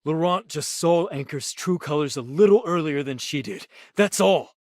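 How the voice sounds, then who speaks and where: A man plays a loyal young pirate in an anime game, earnest and hot-headed. His voice is on the high side for a man and recorded dry. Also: moderate pace, a little mellow, no room sound, lightly acted. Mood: earnest, indignant. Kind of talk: scripted anime game dialogue.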